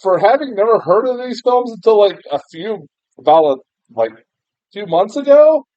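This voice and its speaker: A man's voice: sits high, expressive, moderate pace, mellow, no room sound. A young American man giving a casual, rambling film review, full of fillers and restarts, laughing at himself and swearing mildly. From United States. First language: English. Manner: rambling, self-deprecating, frequent fillers and restarts, laughs often, mild swearing. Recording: dull, muffled lo-fi sound, dry.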